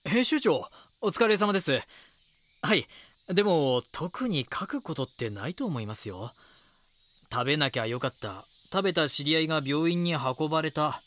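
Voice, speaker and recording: A man's voice: on the high side, expressive, normal speed, a bit bright. A man playing an eager, dogged young magazine reporter in an audio drama, polite and deferential. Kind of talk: Japanese audio drama dialogue and narration.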